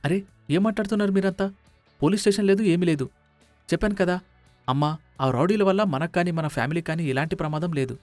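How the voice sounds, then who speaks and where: A man's voice: high-pitched, quick pace, pauses often, a little mellow, dry recording, faint music behind, lightly acted. A man playing a protective, indignant young son in a family drama story.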